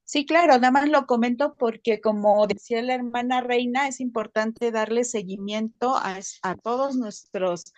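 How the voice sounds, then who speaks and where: A woman's voice: a little high, normal speed, few pauses, dry recording. A woman in an online class explaining things helpfully over a video call. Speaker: class participant.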